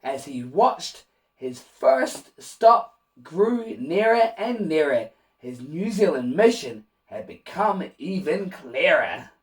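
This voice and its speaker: A man reading a rhyming children's picture book aloud in an expressive, animated way, with asides to young listeners. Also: on the high side, slow, recorded from a distance, poor audio. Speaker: children's storybook reader and host.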